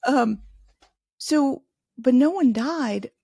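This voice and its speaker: Middle-aged woman from chicago, gravelly voice, chatty and laughing, dry close mic. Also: sits high, expressive, drawn-out words, dark tone, no room sound. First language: Spanish. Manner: chatty, many restarts, laughs easily. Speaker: podcast host and author, interviewed as guest. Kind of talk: casual podcast interview.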